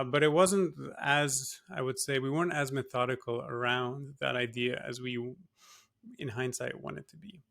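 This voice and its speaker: Gravelly man talking through his background. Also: medium pitch, sharp tone. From Singapore. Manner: thoughtful, with many fillers and restarts. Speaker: guest, tech company co-founder. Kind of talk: casual interview talk.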